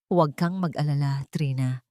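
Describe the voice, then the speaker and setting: A cold-hearted husband in an audiobook story, voiced by a woman narrator. He speaks calmly and quietly, almost without any emotion. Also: deep voice, normal speed, dry recording, studio quality, lightly acted. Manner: calm, nearly emotionless. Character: calm, cold-hearted husband, grown man.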